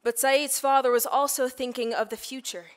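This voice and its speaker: Woman, trained actor, fairly high voice, dramatic literary reading, expressive deliberate phrasing. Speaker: actress giving a reading.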